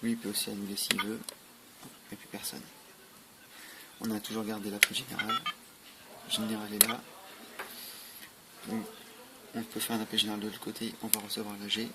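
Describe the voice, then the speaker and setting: A man's voice: lowish voice, completely flat delivery, moderate pace, pauses often. A man giving an informal, matter-of-fact, step-by-step product demonstration. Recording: noisy amateur recording with clicks.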